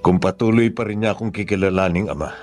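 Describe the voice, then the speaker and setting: A man's voice: deep voice, steady tone, fast, a little mellow, soft background music, lightly acted. Solemn Filipino father played by a man, remorseful. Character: remorseful, firm father admitting betrayal. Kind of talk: Filipino radio drama acting.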